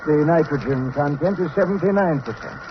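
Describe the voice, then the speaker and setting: An actor playing a calm, learned professor in a vintage radio drama, explaining in a measured way on a muffled, noisy recording. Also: male voice, fairly high pitch, natural voice. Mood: explanatory.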